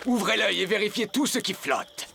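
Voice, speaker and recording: A man's voice: high-pitched, expressive, normal speed, gravelly, pushed and bright, soft background music, exaggerated voice. A man voicing a wary, bossy jungle animal in a cartoon dub. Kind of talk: French cartoon dub acting.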